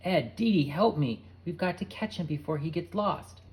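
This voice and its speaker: A man's voice: fairly high pitch, normal speed, a little mellow, voice pushed up, natural voice, rough recording. A man voicing a frazzled boy who scolds his unruly dogs. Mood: exasperated. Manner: exasperated, scolding.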